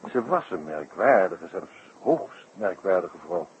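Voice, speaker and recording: An actor playing a genial, teasing local friend showing someone around, with a bantering delivery in a 1960s radio drama. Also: male voice, deep voice, sing-song, slow pace, a little mellow, exaggerated voice. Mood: genial, amused. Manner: bantering, conversational. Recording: dull, noisy 1960s radio recording.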